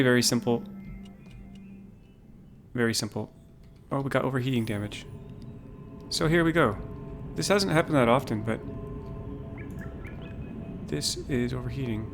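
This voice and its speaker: A man livestreams his game and talks it through in a calm, low-key way. He thinks aloud and often restarts his sentences. Faint music plays behind him. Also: a little slow, gravelly, long pauses. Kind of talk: casual gameplay commentary.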